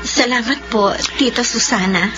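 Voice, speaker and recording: Actress as a high-voiced young bride. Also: female voice, normal speed, lo-fi sound, music in the background, close to an everyday voice. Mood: grateful, hesitant. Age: young adult. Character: young pregnant bride, dutiful yet wounded. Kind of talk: romantic radio drama acting.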